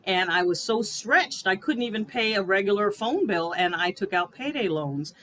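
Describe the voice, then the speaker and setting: A woman speaks as a podcast guest in a mid-pitched voice. She tells her story earnestly, laughing often and leaning on fillers. A faint noise sits behind her. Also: lively intonation, normal speed, a bit bright. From New York. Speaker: guest, wealth coach. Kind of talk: casual interview storytelling.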